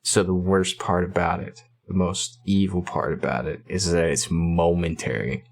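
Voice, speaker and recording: A man's voice: low-pitched, fairly even intonation, moderate pace. A man in his thirties giving casual, slangy reaction commentary, thinking aloud into a close mic in a small room. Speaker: music reaction video host.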